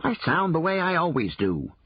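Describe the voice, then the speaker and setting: A man voicing a small, cranky male forest creature who snaps and bickers in a high, pinched voice. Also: huge pitch swings, husky, dry recording, highs cut off, exaggerated voice. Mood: irritable. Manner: irritable, snappish, quick to bicker. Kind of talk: audiobook character voice.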